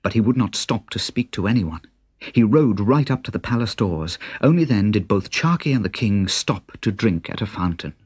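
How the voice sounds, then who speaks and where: Husky, low-voiced male storyteller. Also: no echo. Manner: expressive, unhurried storytelling. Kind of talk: dramatic children's story narration. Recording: children's story cassette with music. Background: music.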